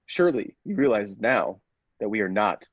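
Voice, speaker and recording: A man's voice: on the low side, big pitch swings, normal speed, breathy edge, dry recording, muffled recording, exaggerated voice. A man playing a persuasive, controlling scientist in an old-style radio play, with a commanding, insistent manner. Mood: placating. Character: persuasive, controlling male laboratory scientist with dangerous ambitions.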